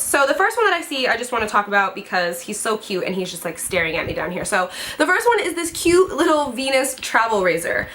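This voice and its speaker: A woman's voice: mid-range voice, sharp tone, a little hiss or hum. A young woman in her twenties chatting casually as a beauty vlogger, gushing and bubbly, a little congested from a head cold. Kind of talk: casual chat to camera.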